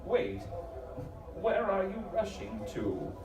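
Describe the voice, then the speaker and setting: A man acts out an earnest, shaken psychologist in a live audio drama. His fairly high voice is halting and slow, with big swings in pitch. The stage recording is echoey and distant. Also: huge pitch swings, heavily acted. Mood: flustered, hesitant. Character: earnest, hesitant male psychologist, shaken but resolute.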